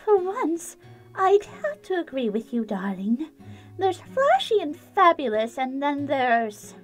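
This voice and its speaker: A woman's voice: sits high, sing-song, takes it slowly, soft background music, exaggerated voice. A woman voicing a dramatic, refined and haughty fashionable young lady in a fan fiction reading, with theatrical emphasis. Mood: haughty disapproval.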